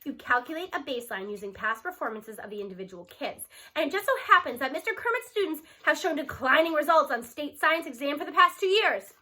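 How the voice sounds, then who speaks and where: A woman's voice: high-pitched, expressive, brisk, few pauses, bright, forceful tone. A woman voicing a cranky, sarcastic male school superintendent in a storybook, with a dry, dismissive, sneering manner. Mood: smug, sarcastic. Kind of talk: storybook character voice.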